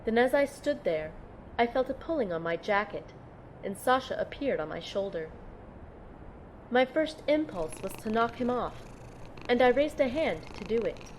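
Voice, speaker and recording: Woman playing a slow, high-voiced, earnest young heroine. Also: a little hiss or hum, poor audio. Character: earnest, tender-hearted young heroine telling her own story. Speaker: narrator and lead character. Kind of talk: first-person audio drama narration and dialogue.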